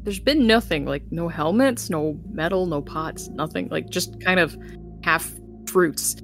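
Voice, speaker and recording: A woman's voice: on the low side, a little slow, creaky, soft background music. A woman chatting casually as a player in a tabletop roleplaying game. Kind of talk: casual chat in play.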